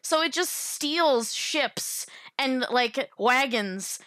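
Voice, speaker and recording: A woman's voice: sits high, animated, drawn-out words, a bit bright, dry recording. A woman chatting casually about tabletop gaming in an enthusiastic, emphatic, colloquial way.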